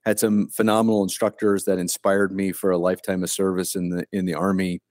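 Plainspoken low-voiced retired officer. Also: male voice, little pitch movement, a little mellow, no room sound, studio quality. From Ohio, US. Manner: plainspoken, unhurried storytelling. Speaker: guest, retired Army colonel. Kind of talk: casual interview conversation. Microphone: remote call.

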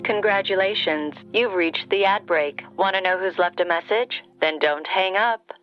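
A woman's voice: mid-range voice, moderate pace. Podcast bumper: actress as upbeat phone-line female announcer, dull narrow sound, music behind. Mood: cheery. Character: cheery telephone announcer for an ad break.

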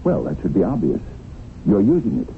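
Deep-voiced, sly, stingy businessman. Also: huge pitch swings, brisk, heavily acted. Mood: smug, oily. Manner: mock-courteous, pedantic, curt with staff. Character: sly, stingy, smoothly officious swindling businessman. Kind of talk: 1970s radio drama acting. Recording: muffled, noisy 1970s radio broadcast.

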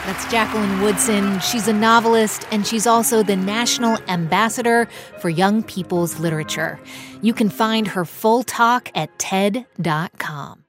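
Woman, radio host, mid-pitched, affirming, faint music bed. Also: unhurried, a crowd in the background. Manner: engaged, affirming interjections. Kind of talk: interviewing and hosting.

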